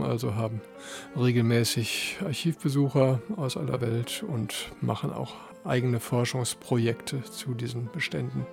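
Mellow-voiced male museum director. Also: medium pitch, gravelly. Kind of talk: interview answers.

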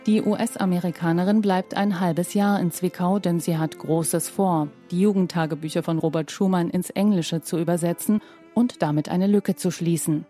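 A woman narrates a news report in a measured, scripted tone. Her voice sits a little low and sounds warm and mellow. Faint music runs quietly beneath her. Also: fairly even intonation.

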